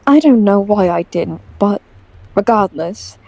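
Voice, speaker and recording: A woman's voice: medium pitch, lively intonation, measured pace, darkish tone, no room sound. A woman voicing a proud, easily exasperated young aristocratic boy in an audio drama, with a dry, formal, indignant way of talking. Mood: earnest recounting.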